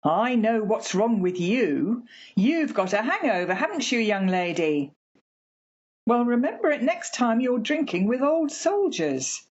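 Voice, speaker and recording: Woman acting a husky, very animated scolding mother. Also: on the high side, measured pace, highs cut off, heavily acted. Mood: scolding, sceptical. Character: practical, scolding older mother and housewife. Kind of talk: amateur radio play acting.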